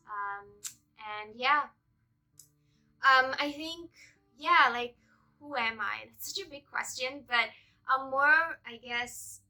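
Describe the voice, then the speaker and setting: A young woman from Malaysia chatting casually and unscripted to viewers, earnest and halting as she searches for words. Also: on the high side, sharp tone, poor audio. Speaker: vlogger introducing own channel.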